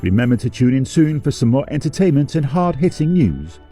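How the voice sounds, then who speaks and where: A man acts an arch television host from a pantomime world, his mellow voice swooping in pitch. He makes formal continuity announcements with a tongue-in-cheek air, over faint music. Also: big pitch swings, a little fast, natural voice. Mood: cheery sign-off. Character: smooth fairytale TV channel presenter, arch and tongue-in-cheek. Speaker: presenter.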